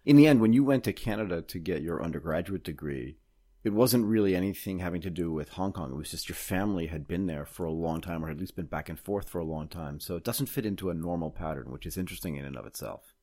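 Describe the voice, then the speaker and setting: A man's voice: low voice, animated, fast, warm tone. A man hosting a casual interview, asking probing follow-up questions.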